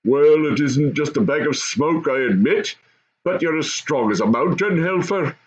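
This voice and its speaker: Storybook goblin father, male reader: gruff, practical, proud, very animated pitch. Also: normal speed, muffled recording, close to an everyday voice. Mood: practical, bossy. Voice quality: rough.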